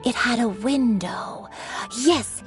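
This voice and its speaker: Slow, wistful old granny. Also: female voice, a little high, over music. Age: older. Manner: halting, dreamy. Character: elderly grandmother, slow, dreamy, forgetful and wistful. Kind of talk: game dialogue.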